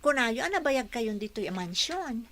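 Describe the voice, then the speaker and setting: A woman playing a bright, eager young housekeeper. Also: a little high, very animated, measured pace. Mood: earnest. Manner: polite, deferential. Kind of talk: radio drama acting.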